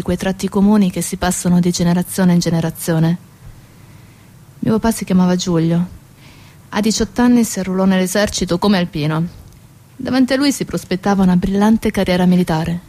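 An actress playing a bitter, grieving daughter in a theatrical monologue, speaking emphatically with deliberate pauses. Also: female voice, on the low side, warm tone, natural voice. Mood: tender, reflective. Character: grieving, bitter daughter of a dead factory worker. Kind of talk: theatrical monologue over guitar. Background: acoustic guitar music.

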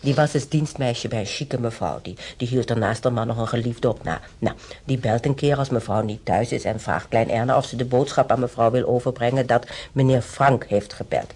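An actress playing an elderly woman patient in a Dutch radio play, with a creaky voice and a homely, rambling way of talking. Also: very deep voice, moderate pace, warm tone, full character voice. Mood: wry, playful.

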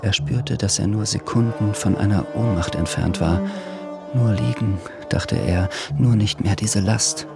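Measured low-voiced male narrator. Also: vocal fry, over loud music. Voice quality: measured, calm, literary. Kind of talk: scripted radio drama narration.

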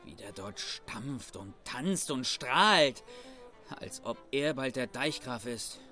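A sullen young farmhand in a radio play. His voice is mid-pitched, and he answers in clipped, reluctant bits with faint music behind. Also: animated, slow pace, sharp tone. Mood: sullen, restless. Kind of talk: German radio drama acting.

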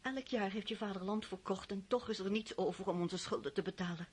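An actress in a radio play, voicing an anxious aristocratic mother who pleads with her son, her voice husky. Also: medium pitch, brisk, lightly acted. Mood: worried. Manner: pleading, worried.

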